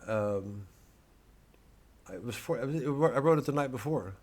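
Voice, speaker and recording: A man's voice: mid-range voice, expressive, measured pace, darkish tone. A male comedian casually promoting a live show in a rambling, digressive way, with a wry, mock-earnest delivery.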